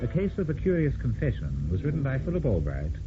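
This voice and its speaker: A man's voice: mid-range voice, wildly swooping pitch, fast talker, music in the background. A man announcing a program sign-off in a polished, dramatic old-time radio style. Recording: tinny narrow-band old radio recording.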